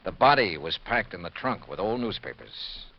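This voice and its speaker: An actor playing a brisk, tough, world-weary male investigator in a 1950s radio detective drama, clipped and probing. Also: fairly low pitch, very animated. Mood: probing, firm. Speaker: lead actor. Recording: dull, noisy old radio recording.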